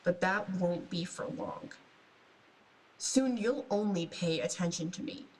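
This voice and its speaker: A woman's voice: lowish voice, a little slow, a little mellow, natural voice. A woman playing a resentful, ambitious agent sending formal, clipped reports home in a science fiction radio drama. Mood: defiant, angry. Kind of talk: sci-fi radio drama monologue. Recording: distant, long reverberant hall.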